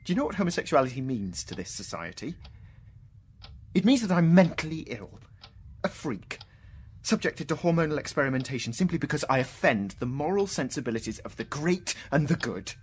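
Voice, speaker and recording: An actor playing an anguished, disbelieving mathematician in a radio drama, bitter and agitated, moving between outbursts and faltering murmurs. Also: male voice, a little high, sing-song, a little fast, slightly rough. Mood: bitter, wounded.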